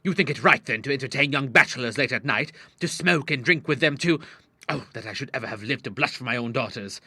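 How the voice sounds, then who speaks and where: A man's voice: mid-range voice, quick pace, sharp tone, no room sound. A man voicing a stern, wounded and fiery Victorian doctor father in an audiobook, speaking formally and emphatically. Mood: angry, sarcastic. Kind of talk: dramatized audiobook dialogue.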